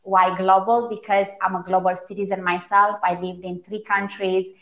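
A woman's voice: medium pitch, little pitch movement, normal speed, a bit bright. A woman from Romania with a Romanian accent, a native Romanian speaker, giving articulate, emphatic interview answers about her own work. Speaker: interview guest, brand strategist. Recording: echoey room.

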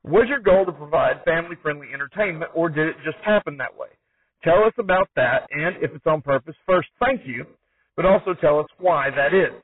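A man's voice: fairly high pitch, brisk, no room sound. A man hosting a podcast, asking interview questions and talking about his show in a conversational style. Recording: thin phone-like band-limited sound, dry.

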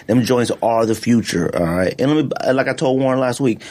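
A man co-hosting a podcast in casual sneaker chat, animated and slangy, laughing often. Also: mid-range voice, a little fast. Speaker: podcast co-host.

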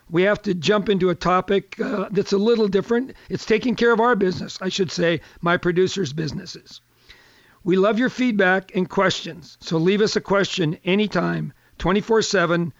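An older man hosting a radio talk show in a chatty, folksy manner, his voice a little hoarse. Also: high-pitched, dry recording, dull audio. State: slightly hoarse.

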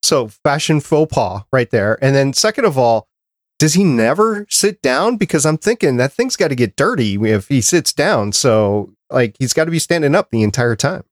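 A man's voice: medium pitch, sing-song, normal speed, no echo, clean studio sound. A man co-hosting a podcast with casual, joking banter and playful mock-serious questions. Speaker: podcast co-host.

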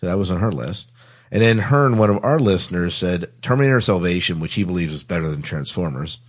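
Low-pitched man hosting a casual chat. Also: animated, brisk. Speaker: podcast host.

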